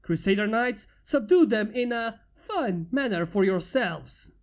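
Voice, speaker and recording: A woman's voice: mid-range voice, expressive, unhurried. A woman voicing a smug, arrogant human inventor who talks with sarcasm and boasts of his own genius. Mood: boastful.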